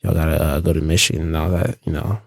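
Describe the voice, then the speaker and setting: A man's voice: extremely low pitch, little pitch movement, fast, creaky, no echo, clean studio sound. A young man from Los Angeles, a guest in a casual interview, talking in a laid-back, rambling way with lots of fillers and restarts.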